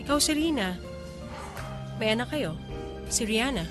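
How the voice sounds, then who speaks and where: A woman's voice: on the high side, lively intonation, normal speed, music in the background, lightly acted. A woman playing a meek, earnest young provincial mistress and single mother in a Filipino radio drama. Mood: meek, defensive.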